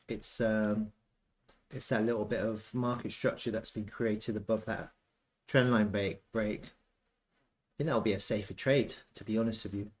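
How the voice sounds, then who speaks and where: A man giving casual, off-the-cuff chart commentary as a trading analyst, thinking aloud haltingly and repeating himself. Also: fairly low pitch.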